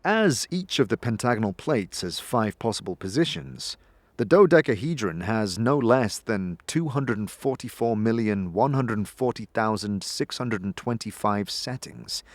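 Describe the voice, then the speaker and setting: A man narrating a fantasy tale in a scripted, dramatic style, with suspenseful, deliberate storytelling. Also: lowish voice, dry recording.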